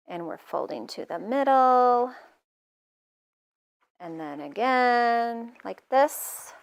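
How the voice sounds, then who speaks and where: Middle-aged woman sewing on a live show, chatty and jokey, mid-pitch, laughs at her own mistakes. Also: clear, resonant tone. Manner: chatty, self-deprecating, jokey asides. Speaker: sewing tutorial host. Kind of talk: casual live sewing demonstration. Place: sewing studio.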